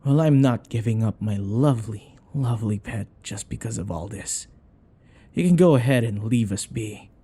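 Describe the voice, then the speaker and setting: A man's voice: lowish voice, lively intonation, normal speed, dark tone, husky. A man playing a jealous, possessive vampire lover in an ASMR roleplay, with a weary, drawling delivery. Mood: jealous, dry.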